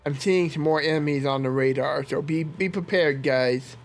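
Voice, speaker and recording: A man's voice: a little high, moderate pace. A man hosting a game playthrough, casually commenting as he plays, slightly sniffly from a cold. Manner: chatty, talks to his squad and viewers. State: stuffy from a cold. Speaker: let's play host. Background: game music.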